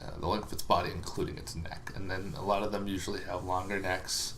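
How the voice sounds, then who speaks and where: A man giving a casual, unscripted explainer as a host, halting and rambling with long pauses, over some background noise. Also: low-pitched, level tone, fairly bright tone. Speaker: host of a tabletop gaming lore series.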